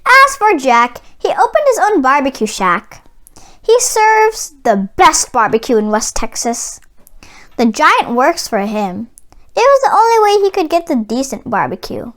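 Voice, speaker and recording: A woman narrating a children's storybook aloud in an expressive read-aloud style. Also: high voice, unhurried. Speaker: children's book reader.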